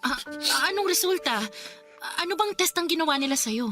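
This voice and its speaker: An actress playing a caring, anxious young girlfriend in a Tagalog radio drama, expressive and worried, with music behind her. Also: very high voice, fairly quick, full character voice. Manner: expressive, worried, pressing with questions. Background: background music.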